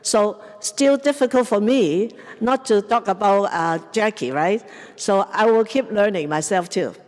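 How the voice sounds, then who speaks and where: Woman in her sixties, Cantonese accent, chatty event host, mid-pitched voice, long hall reverb. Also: unhurried, a little mellow. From Hong Kong or southern China, in Canada since 1975. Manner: chatty, informal, mixes up pronouns. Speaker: event host, opera organizer. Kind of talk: casual introductions at a public event. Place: museum event hall, indoors.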